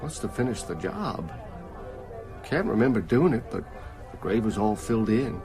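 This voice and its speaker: An actor playing a sick, frightened small-town working man in a radio drama, speaking in a weary, halting, dazed way. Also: lowish voice, sing-song, a little mellow, soft background music. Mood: exhausted, haunted.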